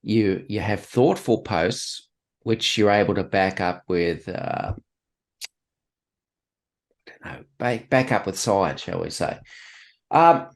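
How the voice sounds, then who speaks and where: Gravelly low-voiced male host. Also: lively intonation, a little slow. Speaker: podcast host. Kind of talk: casual interview hosting.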